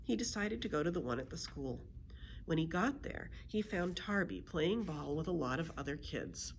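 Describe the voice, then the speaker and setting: A woman reading a children's storybook aloud as a librarian, in an expressive storytelling manner. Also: sits low, moderate pace, a little hiss or hum.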